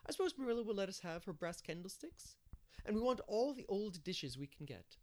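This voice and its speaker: A man's voice: high voice, sing-song, fairly quick, voice pushed up. A man voicing a bustling, opinionated village matron in a storybook, chatty and sure of herself.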